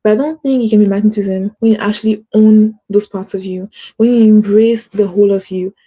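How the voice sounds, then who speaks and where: Woman, motivational host, mellow voice, light stutter, lots of fillers, earnest live-stream chat. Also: medium pitch, fairly even intonation, a little fast. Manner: stutters, uses many fillers; earnest, encouraging. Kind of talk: casual motivational talk.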